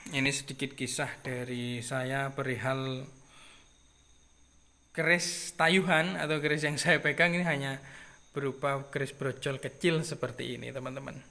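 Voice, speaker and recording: A man in his thirties from East Java with a Madurese accent and a nasal voice, chatting casually to his viewers as a keris collector, unhurried and repeating himself. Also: medium pitch, flat delivery, vocal fry. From Jember, East Java, Indonesia. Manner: unhurried, repeats phrases, drops in soft filler sounds. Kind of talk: casual vlog talk to viewers.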